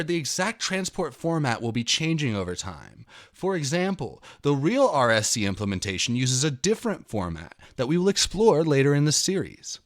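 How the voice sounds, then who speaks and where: A man's voice: fairly high pitch, a bit bright. A man in his thirties reading a written programming tutorial aloud, with an emphatic, somewhat dramatic delivery. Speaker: tutorial host. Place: indoor room at a computer.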